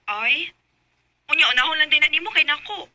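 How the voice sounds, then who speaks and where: A coaxing young woman with a high, extremely bright voice. She is pushy on a phone call, with a Bisaya accent and dry, close radio drama sound. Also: brisk, no echo. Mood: coaxing, excited. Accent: Filipino, Bisaya. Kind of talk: Philippine radio drama acting.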